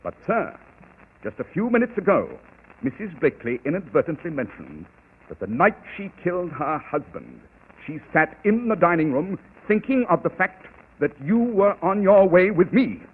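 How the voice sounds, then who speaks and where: Brief: a cool, clipped detective, raised voice, lively swings, tinny old radio sound. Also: male voice, high voice, normal speed, husky, full character voice. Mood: explaining. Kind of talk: 1940s radio acting.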